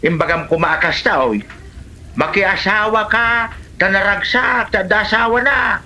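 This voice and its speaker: A woman's voice: mid-range voice, unhurried, bright, forceful tone. A woman with an Ilocano Filipino accent playing a gossipy, teasing village neighbour in an amateur comedy drama, full of questions and exclamations. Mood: gossipy, chiding.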